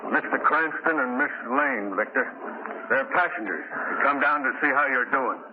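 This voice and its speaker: An actor playing a gruff, plain-spoken, protective seaman with colloquial speech in a 1930s radio drama. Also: medium pitch, moderate pace, narrow, tinny audio, natural voice. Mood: friendly. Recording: thin, noisy 1930s radio recording.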